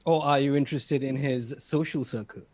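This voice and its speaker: A man's voice: mid-range voice, steady tone, fairly quick, a little mellow, natural voice. A man playing a dry, loyal, deferential British butler in a comic table read. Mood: wry, concerned. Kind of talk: online comedy table read.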